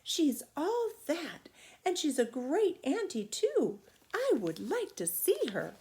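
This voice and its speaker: A woman voicing an eager, wide-eyed little country girl in a storybook, with emphatic excitement. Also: sits high, takes it slowly, voice pushed up, heavily acted. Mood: impressed.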